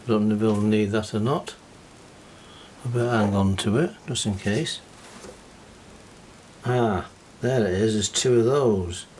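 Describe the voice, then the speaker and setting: A man's voice: lowish voice, unhurried, long pauses, darkish tone, slight background noise, poor audio. A man casually narrating as he cleans parts of an old tape deck, muttering an easygoing running commentary. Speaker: hobbyist repairing a reel-to-reel deck.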